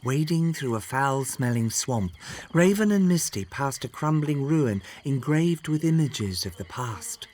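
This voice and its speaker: A man narrating a scripted children's story in an expressive, dramatic way. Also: mid-range voice, a little slow.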